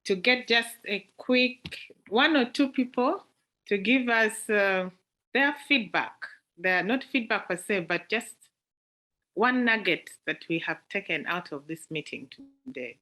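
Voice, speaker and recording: A woman's voice: mid-range voice, expressive, slow pace, bright, forceful tone, low-quality recording. A woman with a Ugandan accent hosting an online club meeting, hesitant and full of fillers. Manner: hesitant, fillers and repeated words. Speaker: meeting host. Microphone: video call.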